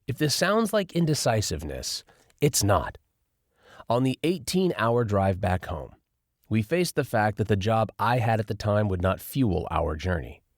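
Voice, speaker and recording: A man narrating a first-person nonfiction audiobook in an expressive, lively reading style. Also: on the low side, measured pace, no room sound, studio quality.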